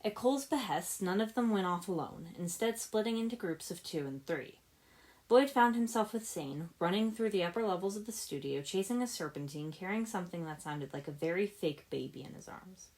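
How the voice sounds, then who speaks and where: A woman's voice: on the low side, animated, a little fast, darkish tone. A woman narrating a story as a scripted reading, moving between narration and dialogue. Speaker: fan fiction narrator, podfic reader.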